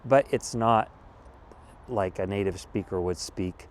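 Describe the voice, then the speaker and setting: An American man in his thirties, a vlogger talking casually and thoughtfully outdoors, pausing often and restarting his sentences. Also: on the low side, slight background noise. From California, USA. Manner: thoughtful, measured, with pauses and restarts. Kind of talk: casual reflective monologue. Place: outdoors in a wooded city spot.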